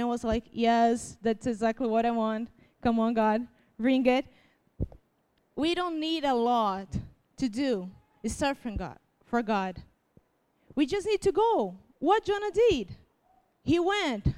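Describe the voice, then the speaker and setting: A woman's voice: fairly high pitch, darkish tone, smooth. A young woman from Brazil with a Brazilian Portuguese accent, reading scripture and preaching informally in an earnest, self-deprecating way, with many fillers. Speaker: church speaker.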